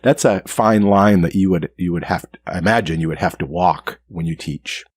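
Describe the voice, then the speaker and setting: A man's voice: deep voice, normal speed, breathy edge, no echo. A man hosting a podcast, asking questions in a casual, friendly way and thinking aloud. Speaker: podcast host.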